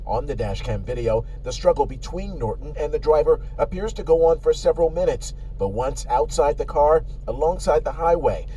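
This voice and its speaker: A man reporting a local news story in a formal, measured voice. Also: on the high side, mellow, a little hiss or hum, poor audio. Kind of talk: scripted news narration.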